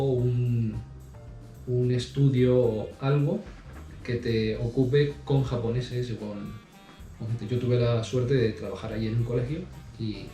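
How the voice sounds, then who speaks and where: A man with a mellow, dark tone talks through his answers, pausing often and restarting his sentences. His voice has almost no rise and fall. He sits back from the microphone in an echoey room with faint music underneath. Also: mid-range voice, recorded from a distance. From Spain. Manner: hesitant, many fillers and restarts. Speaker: interview guest. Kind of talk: casual interview answers. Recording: roomy sound from off mic.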